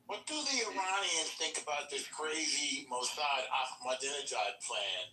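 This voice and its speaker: An animated, emphatic man hosts a political chat, his voice bright and cutting. He sits well back from the mic in a room that echoes. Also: a little high, normal speed, distant mic, slight background noise. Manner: animated, emphatic, laughs often. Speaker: podcast host.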